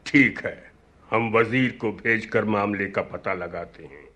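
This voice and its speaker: A man playing an irritable, commanding king in a radio play, measured and authoritative. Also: on the low side, bright, forceful tone. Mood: irritated, commanding. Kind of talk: Hindi radio play acting. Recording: clear music behind.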